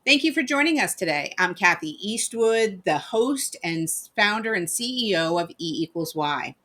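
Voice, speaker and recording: Bright-voiced woman hosting a livestream. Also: medium pitch, animated, normal speed. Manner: upbeat, rehearsed intro. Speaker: host. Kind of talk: livestream interview introduction.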